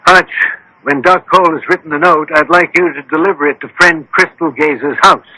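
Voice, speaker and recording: An actor playing a dry, unflappable detective in a radio drama, speaking in a measured, politely authoritative way. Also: male voice, on the high side, pushed and bright, poor audio. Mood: calm, dry. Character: dry, unflappable male detective directing a trap.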